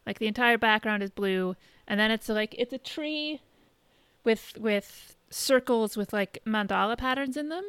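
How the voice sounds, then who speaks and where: A woman podcast co-host chatting casually in a halting, conversational way, recapping what she has been watching. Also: a little high, a bit bright, clear, resonant tone, dry recording. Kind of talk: casual chat, recapping shows and films.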